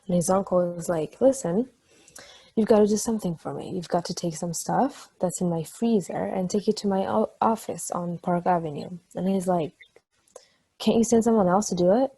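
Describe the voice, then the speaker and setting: A woman's voice: on the low side, moderate pace, breathy edge. A woman playing a playful, chatty married ex-athlete in a casual, conversational stage play table read. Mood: chatty storytelling. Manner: casual, swearing, animated storytelling.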